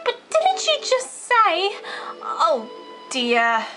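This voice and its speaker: A woman's voice: extremely high pitch, huge pitch swings, drawn-out words, bright, forceful tone, exaggerated voice. A woman playing a polite, curious, flustered young cartoon girl who speaks hesitantly and earnestly. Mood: polite, puzzled. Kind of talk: cartoon fandub acting. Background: music.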